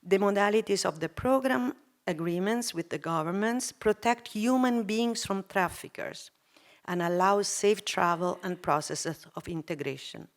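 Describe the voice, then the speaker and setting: A woman giving a prepared talk on a conference panel. She speaks in a measured, formal way, with some non-native phrasing. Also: mid-range voice.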